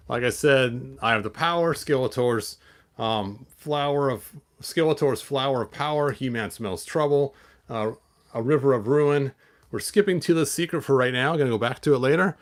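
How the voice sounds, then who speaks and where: A man hosting a toy collector channel, chatting in a rambling way with many fillers and restarts, then reading a picture book aloud. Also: mid-range voice.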